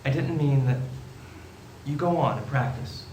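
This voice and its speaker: A man's voice: medium pitch, unhurried, darkish tone, a little hiss or hum, natural voice. A man playing a desperate, short-tempered husband and father in a stage radio drama, emotional and volatile. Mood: remorseful. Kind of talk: old-time radio drama stage acting. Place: theatre stage. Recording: echoey theatre stage, music behind.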